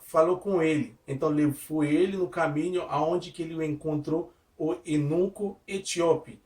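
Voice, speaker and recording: A man with a fairly high voice who is not a native speaker delivers an informal devotional sermon. He repeats his points in simple words and breaks off to restart his sentences. The recording sounds distant, as if he stood well back from the mic in a reverberant room. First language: not Portuguese. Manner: simple repetitive phrasing with frequent restarts, addresses listeners as "tu". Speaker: lay preacher giving a devotional.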